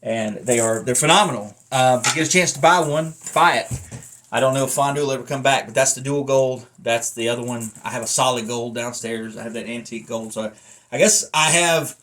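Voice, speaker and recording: A man's voice: mid-range voice, a little fast, a bit bright, a little hiss or hum. Blunt man in casual vlog talk. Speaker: vlogger and wrestling belt collector reviewing a belt. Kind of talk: casual, rambling review talk to camera.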